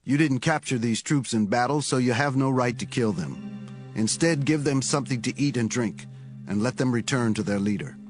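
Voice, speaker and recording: An actor playing an authoritative, measured prophet in a dramatized Bible reading. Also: male voice, mid-range voice, close to an everyday voice. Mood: firm. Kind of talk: dramatized Bible audio acting. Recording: music behind the voice.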